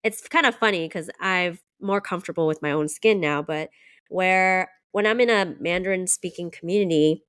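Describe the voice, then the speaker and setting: A young woman with a lowish, smooth voice, recorded close and dry. She talks reflectively and personally, easing through her own story in a casual podcast chat. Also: normal speed, fairly bright tone, dry recording. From United States. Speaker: podcast co-host.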